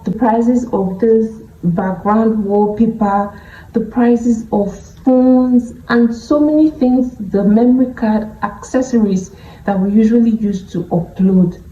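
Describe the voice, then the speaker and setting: A woman's voice: medium pitch, mellow, slight background noise. A woman with a Nigerian accent vlogging casually to a phone camera, speaking candidly and stretching words for emphasis. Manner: candid, drawn-out emphatic phrasing. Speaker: beauty vlogger. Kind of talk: casual vlog talking to camera. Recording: dull, echoey room recording.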